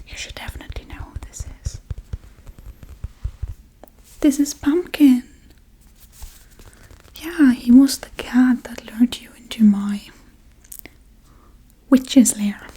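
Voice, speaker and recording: Breathy, whispering scatterbrained witch, played by a woman. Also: a little high, warm tone, low-quality recording. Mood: casual, fond. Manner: offhand, rambling, blithely unbothered. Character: scatterbrained, casually callous female Halloween witch. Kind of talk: soft-spoken ASMR role-play.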